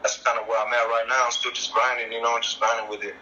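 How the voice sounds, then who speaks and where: A man from El Paso who grew up speaking Spanish, chatting casually as a podcast guest over a video call, relaxed and full of slang. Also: mid-range voice, quick pace, highs cut off, slight background noise. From El Paso, Texas. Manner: laid-back, heavy use of slang and fillers. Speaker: guest artist.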